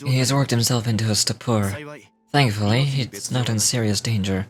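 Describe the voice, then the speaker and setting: An actor playing a calm, learned gentleman investigator in a game, speaking in a measured, grave, formal way. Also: fairly low pitch, faint music behind, natural voice. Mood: grave, composed. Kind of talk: game voice acting.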